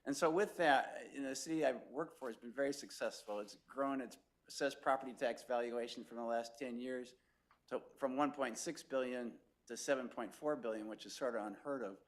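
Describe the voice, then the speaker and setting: A middle-aged man introducing himself as a city manager candidate at a public council meeting, talking conversationally with fillers. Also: mid-range voice. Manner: conversational, with fillers and short laughs. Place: council chambers.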